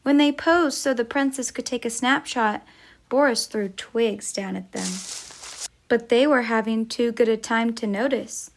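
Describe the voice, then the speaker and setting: A woman's voice: on the high side, unhurried, slight background noise. A woman storyteller reading a picture book aloud for children, as a teacher would.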